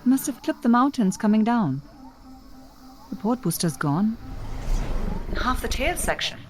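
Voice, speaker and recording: An actress playing a cool, analytical science officer in a sci-fi audio drama, precise, dry and curious. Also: female voice, a little high, big pitch swings, unhurried, noisy background.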